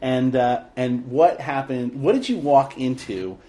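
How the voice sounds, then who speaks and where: A man's voice: mid-range voice, a little slow, dark tone. A man hosting a casual podcast chat, joking with his guest and laughing often. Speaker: podcast host, TV director.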